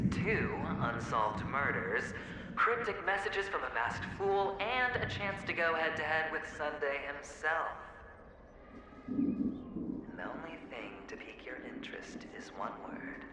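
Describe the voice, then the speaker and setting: An actor playing a theatrical, taunting male phantom in a video game, mocking and drawn-out, drifting into whispers. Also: medium pitch. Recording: very echoey, reverberant, noisy game audio.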